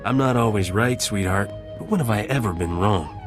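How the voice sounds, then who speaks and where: Actor as a low, cocky rogue smuggler. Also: male voice, normal speed, over music, natural voice. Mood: teasing, smitten. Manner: swaggering, glib, teasing. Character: cocky, roguish flirtatious male smuggler captain. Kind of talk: scripted video game dialogue.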